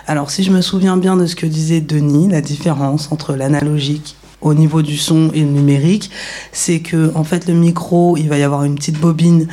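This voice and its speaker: A woman's voice: low-pitched, flat delivery, brisk, mellow. A woman talking casually in a round-table discussion, searching for her words as she goes. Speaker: radio workshop participant.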